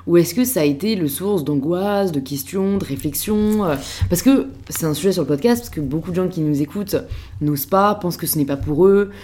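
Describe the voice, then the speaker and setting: A woman's voice: fairly low pitch, a little fast, warm tone, slight background noise. A woman hosting a podcast who asks her questions casually and hesitantly, with many fillers. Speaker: podcast host. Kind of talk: casual interview chat.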